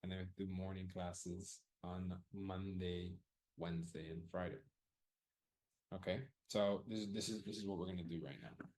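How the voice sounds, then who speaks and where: A man's voice: low voice, little pitch movement, normal speed, a little mellow, low-quality recording. A man running a live audience poll about class times, casually thinking aloud, loose and profane, often repeating himself.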